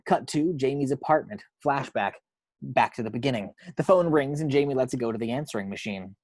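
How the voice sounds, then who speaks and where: Guy reading screenplay action lines, mid pitched voice, really dry sound with no room echo. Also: fairly quick, darkish tone. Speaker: reader of action descriptions. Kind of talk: scripted screenplay narration. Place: at home.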